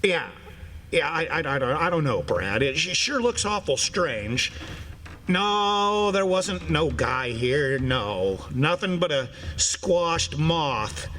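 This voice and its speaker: Man acting a stammering, high-pitched folksy ranger. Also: slow pace, a little hiss or hum, heavily acted. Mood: shaken, bewildered. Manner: stammering, excitable, colloquial. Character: flustered, folksy male forest ranger reporting a horror. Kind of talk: old-time radio play acting.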